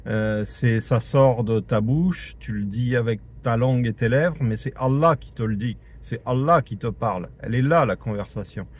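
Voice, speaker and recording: A man's voice: medium pitch, moderate pace, few pauses. A French man giving an informal spoken religious lesson, talking conversationally with many fillers and restarts and repeating key points for emphasis. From France. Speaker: religious teacher. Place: in a car in traffic. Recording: audible traffic noise from the road.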